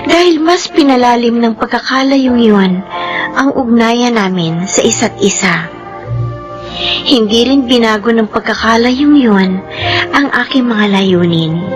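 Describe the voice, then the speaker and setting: An actress playing a gentle, devoted young farm-raised daughter in a Filipino radio drama, speaking earnestly, tenderly and respectfully. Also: female voice, on the high side, lively intonation, measured pace. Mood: earnest, hopeful. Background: music.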